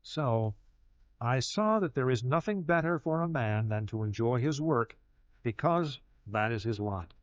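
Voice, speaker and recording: A man narrating a dramatized scripture audiobook in a solemn, measured manner, with deliberate pauses. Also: medium pitch, animated, no echo. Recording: slightly dull audio with music behind. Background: music.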